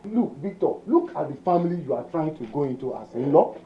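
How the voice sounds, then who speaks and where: A man's voice: on the high side, sing-song, fairly quick, a little hiss or hum. An actor playing a middle-aged father who sternly scolds his guests. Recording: muffled audio with loud music.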